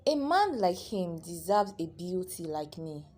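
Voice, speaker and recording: A woman's voice: on the low side, very animated, a little slow, a little mellow, a little hiss or hum. A woman voicing a vain, cruel young village beauty in a folktale, with a mocking, haughty manner. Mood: haughty, determined.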